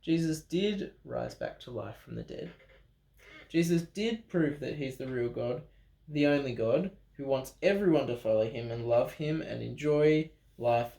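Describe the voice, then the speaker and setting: Slow, expressive male storyteller in echoey room. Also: mid-range voice, creaky. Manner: dramatic pauses, expressive emphasis, playful ad-libbed asides. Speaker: storyteller reading a children's Bible picture book. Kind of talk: animated read-aloud storytelling for children. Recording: roomy, echoing room sound.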